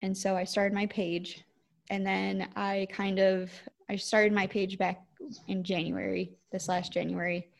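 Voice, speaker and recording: A woman from the with a squeaky voice talks in a loose, rambling way. She leans on "like" and "um" as she chats with a friend on a podcast. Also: little pitch movement, a little slow, creaky. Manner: rambling, lots of "like" and "um". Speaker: podcast co-host, cranberry grower. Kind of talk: casual chat.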